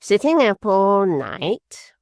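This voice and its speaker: Reader putting on a nasty little dwarf guy, leering, mock-sweet and slow, dry sound. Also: mid-range voice, sing-song, dry recording, voice pushed up. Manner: slow, mocking, falsely affectionate. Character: malicious hunchbacked male dwarf, leering and cunning.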